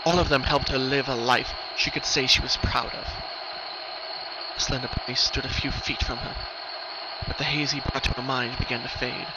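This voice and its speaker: A man's voice: medium pitch, steady tone, moderate pace. A man narrating a scripted story in an earnest, theatrical way. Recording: dull lo-fi recording, background noise. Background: noise.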